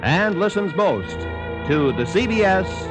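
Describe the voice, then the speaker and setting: Lively 1950s radio announcer man. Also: high-pitched, takes it slowly, music in the background. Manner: smooth, lively announcer delivery.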